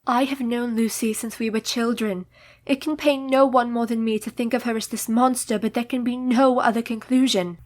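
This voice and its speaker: A woman's voice: a little high, fairly even intonation, normal speed, natural voice. An actress playing a young married Victorian woman in a radio play, formal, composed, earnest and determined. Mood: grave, certain.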